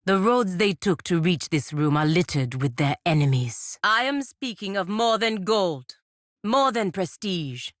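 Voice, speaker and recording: Low-voiced, stern woman knight. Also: expressive, no echo, studio quality. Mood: grave, frank. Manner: formal, measured, admonishing. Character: proud, stern warrior knight sworn to her republic, woman. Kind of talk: video game character dialogue.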